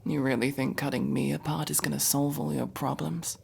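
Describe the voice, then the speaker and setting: A woman playing a prickly but protective masked elf in a fantasy audio roleplay, sharp-tongued and intense. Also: deep voice, level tone, moderate pace, vocal fry, slight background noise. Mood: scornful. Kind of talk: dramatic ASMR fantasy roleplay acting.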